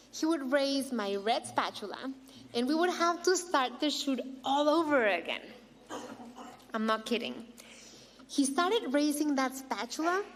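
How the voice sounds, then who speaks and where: High-voiced woman with a Mexican accent. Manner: animated, playful asides. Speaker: awards ceremony host. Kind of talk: prepared ceremony speech. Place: large hall. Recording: long reverb of a big hall.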